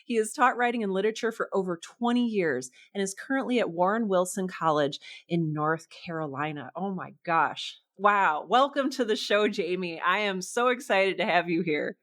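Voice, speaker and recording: Female podcast host, medium pitch, enthusiastic and chatty interviewer. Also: normal speed, hardly any pauses, a bit bright. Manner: effusive, chatty.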